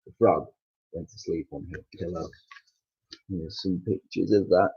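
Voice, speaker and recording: A man reading a children's story aloud as an expressive narrator, on a slightly muffled recording. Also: deep voice, measured pace, vocal fry.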